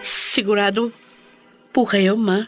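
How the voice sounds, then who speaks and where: An actress playing a meek, apologetic wife with a Filipino accent, deferential and hesitant in a Tagalog radio drama. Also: female voice, medium pitch, little pitch movement, slow, soft background music, lightly acted, rough recording.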